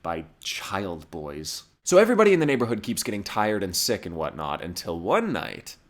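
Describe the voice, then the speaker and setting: A man's voice: sits low, wildly swooping pitch, normal speed. A man giving sarcastic, wisecracking scripted commentary as he recaps a show. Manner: mocking, wisecracking. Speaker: comedic reviewer narrating an episode summary. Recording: music behind the voice. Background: music.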